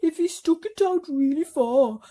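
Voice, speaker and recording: A woman voicing a gloomy male earthworm in a children's storybook, dramatic and given to drawn-out complaining. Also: high-pitched, mellow, voice pushed up. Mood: gleeful. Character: gloomy male earthworm relishing others' misfortune.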